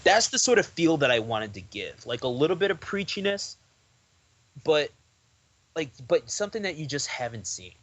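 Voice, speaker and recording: A man's voice: on the high side, very animated, normal speed, a bit bright, no room sound. A young man talking as a guest on a podcast, rambling loosely with lots of "like" and restarts. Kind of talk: casual interview chat.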